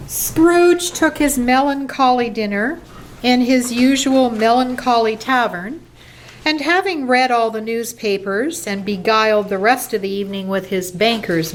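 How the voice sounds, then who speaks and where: A woman narrating a radio play from a script in a measured storytelling manner. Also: on the high side, natural voice, poor audio. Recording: faint background noise.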